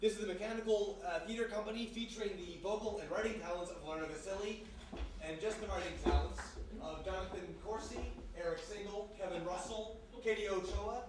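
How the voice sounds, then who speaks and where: A man's voice: high voice, fairly quick, a crowd in the background. A man working as announcer and narrator of a live show in old-time radio style. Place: live performance in a historic house. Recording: very echoey live room, distant, noisy.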